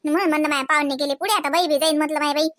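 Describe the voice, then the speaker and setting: Village housewife for a comedy cartoon , played by a woman: tiny, very high, bright voice, Varhadi Marathi accent, gossipy and full of complaints. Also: steady tone, racing, no echo, studio quality. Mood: resigned, chatty. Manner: chatty, gossipy, colloquial. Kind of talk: comic cartoon village dialogue.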